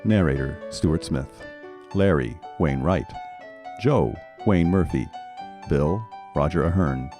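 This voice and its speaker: Low, mellow male announcer. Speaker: credits announcer. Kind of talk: scripted announcement. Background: soft guitar music.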